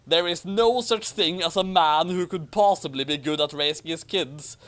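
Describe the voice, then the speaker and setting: A man's voice: on the high side, fairly bright tone. A man reading a story aloud with sarcastic, exasperated commentary. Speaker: reader of a fan fiction with sarcastic commentary. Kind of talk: dramatic reading with sarcastic asides.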